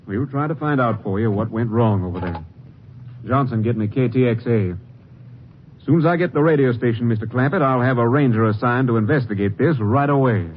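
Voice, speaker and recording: A firm, reassuring Ranger captain, as played by a man in an old radio play. His voice sits at mid pitch and comes through a dull, muffled broadcast with a faint hiss. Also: brisk, lightly acted. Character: authoritative male Ranger captain. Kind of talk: 1950s radio drama acting.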